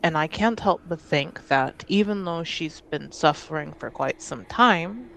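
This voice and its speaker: A woman playing a grieving grandchild who pleads earnestly and haltingly in a tabletop roleplaying game. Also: low voice, animated, creaky, a bit bright, slight background noise, heavily acted, poor audio. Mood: earnest pleading. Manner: slow, halting, earnest delivery. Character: Ade, sincere, grieving grandchild pleading with an estranged relative.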